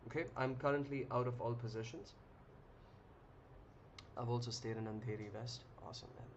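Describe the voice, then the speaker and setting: A young man hosting a live trading stream, chatting casually with viewers in a relaxed, rambling way with many ums and restarts. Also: medium pitch, moderate pace. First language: English. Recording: muffled lo-fi audio with clear noise.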